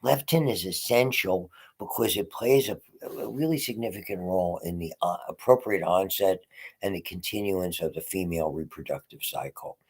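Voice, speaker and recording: A man giving a physiology lecture from home, congested and often clearing his throat, with halting, restarting phrasing. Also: deep voice, a little mellow. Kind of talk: unscripted lecture.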